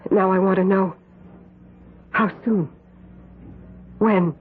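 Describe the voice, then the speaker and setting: An actress playing a dark, brooding psychic older sister who speaks with intense warning, in an old radio drama. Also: female voice, mid-range voice, fairly even intonation, slow, slight background noise, close to an everyday voice. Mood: urgent. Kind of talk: 1970s radio drama acting. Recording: phone-like old radio recording.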